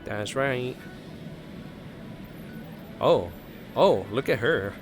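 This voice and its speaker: A man's voice: medium pitch, animated, drawn-out words, soft background music. A man giving casual, offhand reaction commentary as a game streamer.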